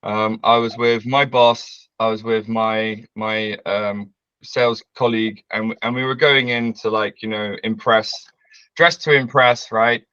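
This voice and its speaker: A man, telling a story as a guest on a video call, loud and brash, laughing often. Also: fairly low pitch, fairly even intonation, unhurried, clear, resonant tone, no room sound, lo-fi sound. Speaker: interview guest. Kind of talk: casual storytelling. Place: bright home room with large windows.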